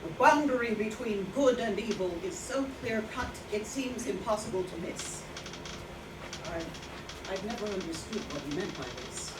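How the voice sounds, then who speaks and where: A woman playing a haunted former nurse recounting her memories in a halting, reflective monologue at a staged reading. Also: mid-range voice, animated, heavy background noise, close to an everyday voice. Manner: halting, with repeated words. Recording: roomy, distant mic, music behind.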